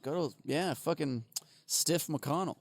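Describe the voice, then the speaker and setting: A man's voice: on the high side, a little slow, vocal fry, no echo, clean studio sound. A man co-hosting a comedy podcast, riffing in casual banter and laughing often. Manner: joking, laughs often, goofy riffs. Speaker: podcast co-host.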